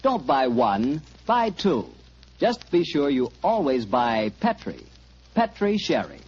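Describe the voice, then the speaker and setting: An American man works as a radio announcer in classic 1940s style. His husky voice swoops emphatically as he sells and hosts, on a dull, old recording. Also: mid-range voice, wildly swooping pitch, slow pace, darkish tone. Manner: emphatic, salesmanlike.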